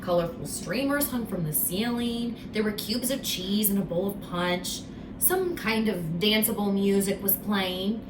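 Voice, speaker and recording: Female storybook narrator: expressive, slow and suspenseful, with an echoey, slightly distant recording. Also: mid-range voice, background noise. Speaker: storybook reader. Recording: echoey room, distant from mic.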